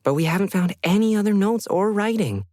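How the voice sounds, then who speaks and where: A man's voice: high voice, animated, a little fast, a little mellow, dry recording, studio quality, voice pushed up. A man voicing a puzzled woman in an audio story, talking in a conversational, pondering way. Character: puzzled woman sorting through her late uncle's papers.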